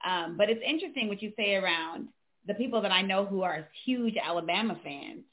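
A woman's voice: medium pitch, animated, fairly quick. A woman co-host speaks in a mid-range voice, chatting casually and reading viewer questions aloud. Her voice is carried over a thin, phone-like line. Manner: conversational, chiming in with agreement. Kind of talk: casual chat.

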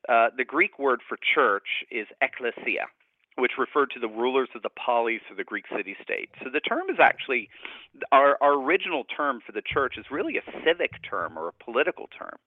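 A man's voice: medium pitch, sing-song, fairly quick, no room sound. A man speaking as a guest scholar in a radio interview, explaining ideas in a reflective, academic, conversational way. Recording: narrow, tinny phone-like line.

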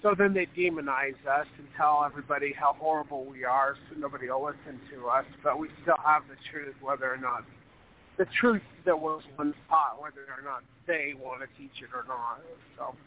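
A man calling in to a religious talk program, talking in a rambling, animated way full of "you know" and "like.". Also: medium pitch, fairly quick. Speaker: caller to a religious call-in program. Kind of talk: casual call-in conversation. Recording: phone line with faint background noise.

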